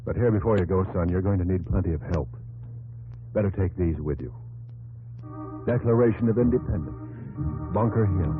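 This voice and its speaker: Deep, solemn fatherly radio narrator. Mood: solemn, stirring. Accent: American. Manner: measured, declamatory. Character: fatherly, solemn male narrator guiding a young man. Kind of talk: 1950s radio drama narration. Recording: narrow old radio recording, loud organ music.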